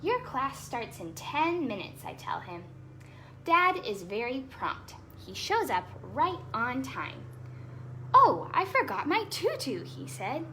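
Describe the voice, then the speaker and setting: A woman's voice: sits high, big pitch swings, slow, pushed and bright, slight background noise. A woman hosting a children's storytime, reading aloud expressively with chatty asides and explaining words simply for young listeners.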